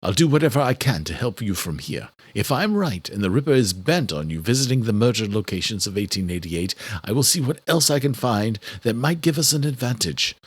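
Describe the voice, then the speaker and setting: A man's voice: medium pitch, very animated, brisk, hardly any pauses, breathy edge, no room sound. A man voicing an earnest, worried doctor in a thriller audiobook, explaining with care and loyal concern. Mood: determined. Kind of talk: horror thriller audiobook character.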